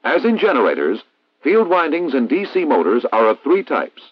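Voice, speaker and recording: An American man reading a scripted instructional narration in a formal, measured, didactic way, as in a 1960s military training film. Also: fairly high pitch, wildly swooping pitch, dry recording. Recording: dull vintage 1960s film soundtrack.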